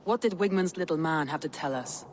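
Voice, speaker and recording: A woman voicing a stern, measured Danish clan leader in a cinematic video game. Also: low-pitched, noisy background, close to an everyday voice. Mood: grave.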